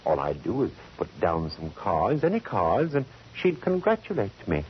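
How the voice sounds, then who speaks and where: A man playing a fussy, affectionate gentleman sidekick, speaking in a florid, arch, affectedly genteel way in 1940s radio style. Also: fairly low pitch, huge pitch swings, moderate pace. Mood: breezy, delighted. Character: fussy, theatrical, affectionate gentleman best friend of detective. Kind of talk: 1940s radio comedy-drama acting. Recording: dull, hissy 1940s radio recording.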